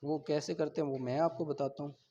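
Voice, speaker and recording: Male lecturer, Pakistani accent, high-pitched voice, explains step by step and repeats key terms. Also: fairly even intonation, a little fast, warm tone, highs cut off. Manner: checks listeners' understanding, repeats key terms. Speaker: law teacher. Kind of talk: classroom lecture, reading out and explaining.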